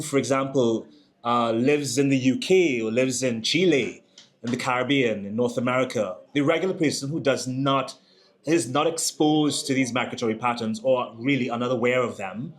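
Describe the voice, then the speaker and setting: A man hosting an interview, asking long conversational questions and searching for words with fillers. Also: medium pitch. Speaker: host.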